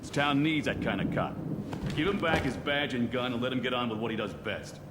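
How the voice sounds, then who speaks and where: An actor playing a brash, forceful veteran American cop in a game cutscene, blunt and insistent. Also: male voice, mid-range voice, brisk, background noise, natural voice. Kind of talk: video game cutscene acting. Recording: long echoey reverb, music behind.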